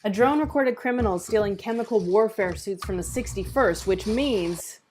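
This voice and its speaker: A woman's voice: medium pitch, moderate pace, darkish tone, a little hiss or hum, natural voice. A woman acting a defiant, sarcastic police officer in a screenplay table read, with a blunt delivery. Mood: urgent, defiant. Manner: sardonic, blunt.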